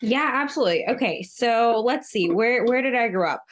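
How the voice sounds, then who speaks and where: A woman's voice: fairly high pitch, lively intonation, moderate pace. A woman in her thirties, born in Russia and raised in Maryland, talking casually as a podcast guest with lots of ums and likes. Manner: chatty, many ums and likes. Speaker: guest, retail executive. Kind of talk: casual interview talk.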